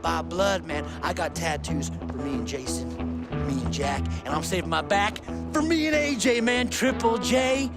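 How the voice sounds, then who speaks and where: An actor playing a loopy, affectionate slacker dude in a video game, slangy, excitable and rambling. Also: male voice, on the high side, normal speed, lightly acted. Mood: excited, chummy. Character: hyper, loopy, affectionate slacker dude, devout to monkey god. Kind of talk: video game cutscene acting. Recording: loud music behind.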